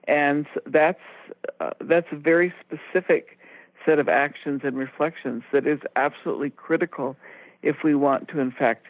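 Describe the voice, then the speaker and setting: A woman co-hosting talk radio over a tinny phone line, explaining ideas in a measured, deliberate way. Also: sits low, no echo. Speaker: radio show co-host.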